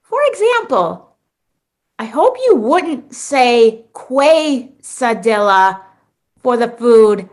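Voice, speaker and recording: A woman's voice: fairly high pitch, very animated, very slow, heavily acted. A young woman playing an exasperated, sarcastic mall mascot in a comic radio play, with theatrical delivery. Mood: sarcastic, lecturing. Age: young adult. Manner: theatrical, sarcastic, big exclamations.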